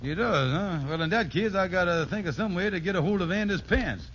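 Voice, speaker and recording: A man's voice: high-pitched, expressive, brisk. A man playing a scheming, henpecked con-man husband in a 1940s radio sitcom, folksy, wheedling and blustering. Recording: dull, noisy old radio recording.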